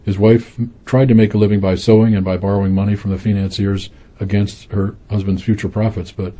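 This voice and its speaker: Curator guy talking quick, really dark husky voice, relaxed and explaining. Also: fairly low pitch. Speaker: museum curator. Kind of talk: interview clip.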